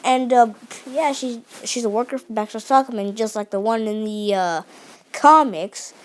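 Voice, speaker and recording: A young woman giving an unscripted, enthusiastic review of a cartoon series, often restarting her sentences and filling pauses. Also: fairly high pitch. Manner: enthusiastic, many restarts and fillers.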